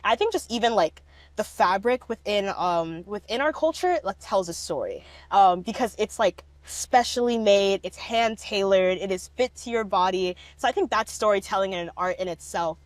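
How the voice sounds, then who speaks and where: A woman's voice: mid-range voice, expressive, normal speed, dry recording. A young woman in a casual interview, often laughing and filling her speech with "like". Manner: laughing often, many "like" fillers. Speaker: documentary subject, speech competitor.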